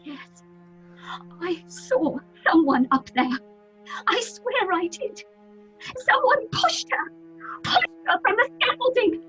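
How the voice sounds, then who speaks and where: A woman's voice: very high-pitched, very animated, slow pace, long pauses, a bit bright, lo-fi sound, soft background music, heavily acted. A woman playing a frightened young ingenue in a horror drama, earnest and tremulous with guilt and distress. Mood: shaken, insistent. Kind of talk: theatrical horror audio drama.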